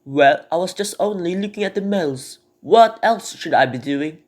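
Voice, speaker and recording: A scheming, unhappy noblewoman, acted by a man, pitched up high. She speaks in a coaxing, defensive way, in the style of an amateur radio play. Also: expressive, normal speed. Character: scheming, unhappy wife of a lord. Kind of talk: amateur radio drama acting.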